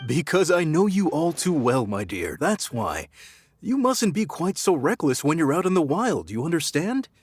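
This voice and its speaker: A man's voice: on the high side, big pitch swings, normal speed, husky. A man voicing a kindly, cautioning middle-aged father who cooks, in an anime-style video game. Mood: fond warning.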